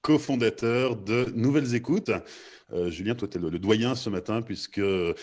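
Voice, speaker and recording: A man hosting a live panel discussion, introducing and questioning guests in a halting, hesitant way. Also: fairly low pitch, a little mellow. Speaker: host and moderator of a panel discussion.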